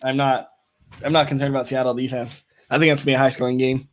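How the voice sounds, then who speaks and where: A young man with a slightly raspy voice co-hosting a fantasy football show, giving injury news in a matter-of-fact way with many fillers. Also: mid-range voice, flat delivery, fast talker. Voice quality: a bit raspy. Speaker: co-host. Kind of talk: casual fantasy football chat.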